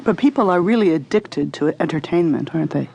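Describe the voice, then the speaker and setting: A woman's voice: sits low, expressive, a little fast, gravelly, dark tone, slight background noise. A woman working as an interviewer, asking questions and offering observations in a conversational style.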